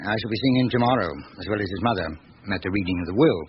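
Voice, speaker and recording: An actor with a British accent playing a courteous, discreet elderly notary in a radio drama, speaking in a measured, formal way. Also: male voice, on the low side, lightly acted. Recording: dull, noisy old radio recording.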